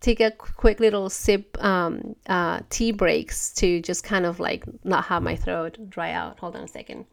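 Podcast lady w a cold, stuffy and kinda low, lots of fry, earnest gentle rambling. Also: fairly even intonation, unhurried, hardly any pauses. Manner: earnest, gentle, restarts often. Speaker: podcast host. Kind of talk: casual monologue.

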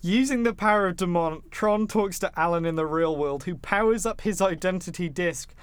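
A man's voice: sits high, moderate pace, clear, resonant tone, dry recording. A man hosting a podcast, recapping a film plot in a casual, jokey and informal way. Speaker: podcast host.

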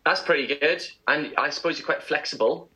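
A man's voice: fairly high pitch, a little fast, sharp tone, poor audio. A man co-hosting a casual radio show, playful and laughing often. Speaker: co-host.

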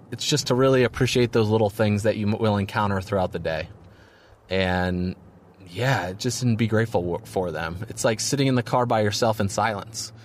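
A man's voice: mid-range voice, a little hiss or hum. A man in his thirties hosting his own podcast, talking casually and rambling with lots of fillers and self-deprecating jokes, tired from little sleep. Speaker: podcast host. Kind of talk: casual solo podcast monologue.

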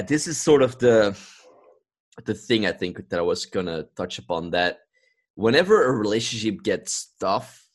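A man's voice: lowish voice, a bit bright. A man from Belgium chatting casually as a podcast host, explaining his views in an earnest way. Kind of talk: casual conversational discussion.

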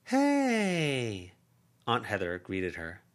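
A man narrating a fan-made audiobook chapter in a steady, plain way. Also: low-pitched, moderate pace. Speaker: audiobook reader.

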